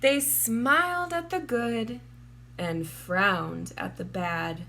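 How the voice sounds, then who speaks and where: A woman reading a children's storybook aloud in a measured, deliberate and expressive way, drawing out words. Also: on the high side, creaky, slight background noise. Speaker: children's storybook reader, teacher. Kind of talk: read-aloud storybook narration for young children.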